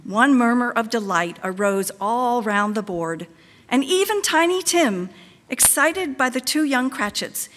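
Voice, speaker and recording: A woman's voice: on the high side, animated, a little slow, a bit bright, slight background noise. Woman narrator in a reverberant hall. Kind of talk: dramatic reading of a Victorian tale. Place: live in a church.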